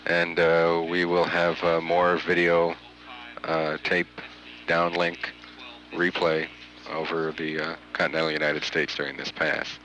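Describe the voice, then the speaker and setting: A man giving measured, halting live spaceflight commentary as a mission control announcer, pausing often, on a noisy archival recording. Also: deep voice, flat delivery, sharp tone. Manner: halting, frequent long hesitations.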